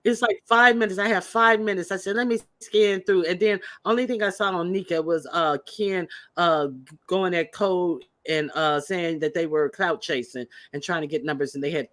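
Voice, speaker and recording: A woman's voice: lowish voice, moderate pace, fairly bright tone, dry recording. A woman chatting casually and trading gossip as a co-host on a livestream.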